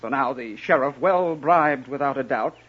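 An actor playing a merry, bold, confident outlaw leader in a 1950s radio drama, with hearty storybook speech. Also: male voice, mid-range voice, moderate pace, breathy edge, lightly acted. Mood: earnest, resolute. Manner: hearty, declamatory, old-fashioned storybook speech. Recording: dull old radio recording.

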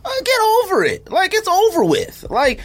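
A man hosting a podcast in casual chat, animated and often laughing, his voice leaping high when he is incredulous. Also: moderate pace. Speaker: podcast host.

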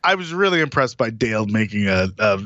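A man's voice: lowish voice, very animated, fast, pushed and bright, no room sound, muffled recording. A man co-hosting a podcast, chatting casually in an easygoing, hesitant way with many fillers. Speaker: podcast co-host. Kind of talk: casual chat.

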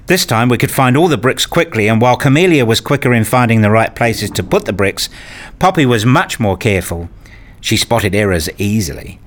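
A man's voice: on the low side, natural voice. Guy acting as a nerdy playful dad narrating a radio play, wry everyday voice. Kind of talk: first-person audio drama narration and dialogue.

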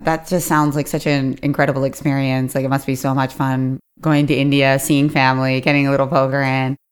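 A woman with a Philadelphia accent hosts a podcast, her voice sitting low in her range. She chats easily with her guest and wraps up the show in a friendly, practical way. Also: little pitch movement, brisk, clean tone. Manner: chatty, laughs easily. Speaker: podcast host. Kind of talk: podcast interview and outro.

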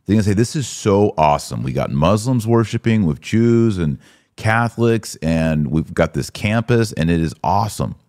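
A man with a deep voice hosts in a close, dry recording. He talks informally about religion, posing rhetorical questions and repeating short phrases to drive a point home. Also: lively intonation, moderate pace, a little mellow, no room sound. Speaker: podcast host. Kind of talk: conversational religious commentary.

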